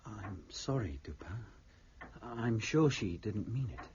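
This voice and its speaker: Lively-toned gentleman sidekick. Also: on the low side, takes it slowly, lo-fi sound, background noise. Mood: sympathetic. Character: loyal, mild gentleman companion to a detective. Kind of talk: period mystery radio drama acting.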